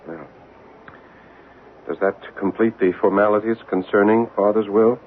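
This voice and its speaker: An actor playing a troubled, sensitive man in an old radio drama, speaking in an earnest, halting, emotional way. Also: lowish voice, steady tone. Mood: uneasy. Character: troubled, sensitive grown Boston nephew, defensive, hesitant. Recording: tinny, noisy vintage radio broadcast.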